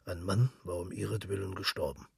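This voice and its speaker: A man narrating a literary story for radio in a measured, solemn manner. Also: low-pitched, steady tone, gravelly, slightly rough, no echo, studio quality.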